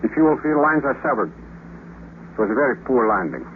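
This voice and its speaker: A man's voice: mid-range voice, very animated, moderate pace, a little hiss or hum, natural voice. A narrow, muffled old radio recording carries an actor as a proud cosmonaut. He is a man, speaking stiffly and formally, with a despairing weight in the words. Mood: bitter, defeated. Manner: stiff, formal speech without contractions. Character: proud, despairing Russian cosmonaut stranded on the moon. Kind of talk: 1950s radio drama acting.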